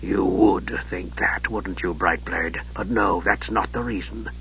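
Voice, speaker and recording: A man voicing a frail, sardonic old archmage in a soft, low voice that drops to whispers and a slow, cutting delivery, on a dull lo-fi recording. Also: fairly even intonation, slightly rough, heavily acted. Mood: cold.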